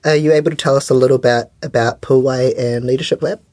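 A man asks prepared interview questions in a mid-range voice. The sound is close and dry, and his phrasing is careful and measured. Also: mid-range voice, steady tone, a little mellow, dry recording. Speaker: interviewer, podcast host.

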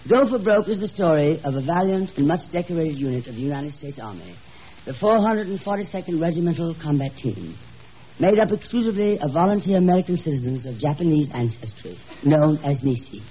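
A man's voice: fairly high pitch, animated, brisk, breathy edge. A man delivering solemn, declamatory scripted narration as a 1950s radio announcer. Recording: muffled, noisy old 1950s radio broadcast.

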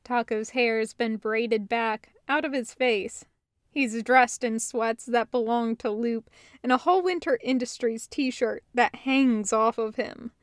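A woman's voice: on the high side, fairly even intonation, slow pace, no room sound, clean studio sound. A woman narrating a story as a reader of fan fiction audiobooks.

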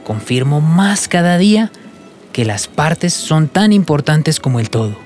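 A sincere, ambitious young Colombian man with a slightly high voice. He talks respectfully, in radio soap style, with faint music behind him. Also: sing-song, measured pace, darkish tone. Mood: earnest, confident. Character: polite, earnest, ambitious young male student waiter. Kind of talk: radio soap opera acting.

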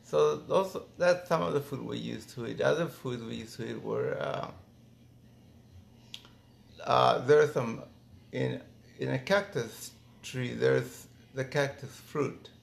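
A middle-aged man from Mexico reminiscing casually in an interview, thinking aloud in halting phrases with false starts. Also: mid-range voice, gravelly, a little hiss or hum. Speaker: interviewee, former migrant worker.

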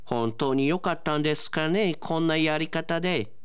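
An announcer playing an earnest young foreign runner in a comic radio drama, with a strange sing-song foreign lilt and slow, stretched words that jump up high. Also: male voice, smooth, lightly acted. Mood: doubtful. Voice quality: odd foreign-sounding lilt, stretched drawn-out vowels. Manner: swooping high exclamations.

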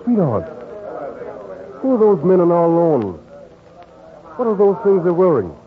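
Earnest doctor, tinny old radio. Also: male voice, high voice, huge pitch swings, unhurried, full character voice. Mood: alarmed. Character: earnest, resolute male doctor and devoted husband. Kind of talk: 1940s radio acting. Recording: tinny, noisy 1940s radio recording.

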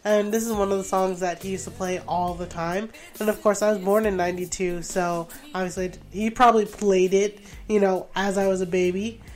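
A young woman from Rhode Island co-hosts a casual music podcast. She talks in a chatty, animated way, trailing into asides and filler words, with a middle-range voice. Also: medium pitch, a little fast, few pauses. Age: 20s. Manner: chatty, animated storytelling with laughs and "like"s. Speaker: podcast co-host. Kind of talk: casual chat.

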